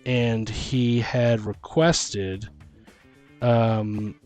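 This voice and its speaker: A man chatting casually as a game master and host, thinking out loud in an excitable, halting way. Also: mid-range voice, faint music behind. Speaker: host and dungeon master on a tabletop gaming show. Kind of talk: casual brainstorming chat.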